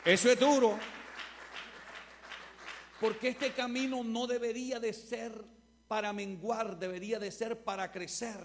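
High-pitched, gravelly Salvadoran pastor preaching. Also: male voice, fairly even intonation, measured pace, long pauses, a little hiss or hum. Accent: Salvadoran Spanish. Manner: preaching with rising, shouted repetition. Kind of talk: Pentecostal-style sermon.

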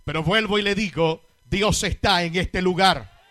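Puerto rican guy preacher, really high voice, emphatic, repeats phrases for effect, dry sound. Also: unhurried, bright, forceful tone, dry recording. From Puerto Rico. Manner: emphatic, rhetorical repetition, addresses listeners directly. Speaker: guest preacher. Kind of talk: sermon to a large congregation.

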